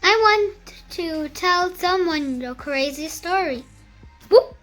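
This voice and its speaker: A child reading a picture book aloud in a careful, halting beginner's way, with music behind. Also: extremely high pitch, animated, fairly bright tone.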